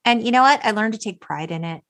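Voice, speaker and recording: A woman's voice: a little high, lively intonation, fast talker, fairly bright tone. A woman hosting a podcast, recapping a film conversationally and acting out bits of dialogue as she retells it. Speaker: podcast host.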